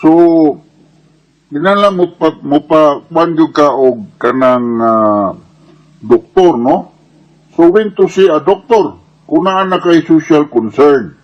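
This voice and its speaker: A man's voice: fairly high pitch, animated, slow pace, lo-fi sound. A Filipino man with a Cebuano Bisaya accent answers listeners' questions as a medical advice host, explaining casually and didactically with frequent check-in tags and English medical terms.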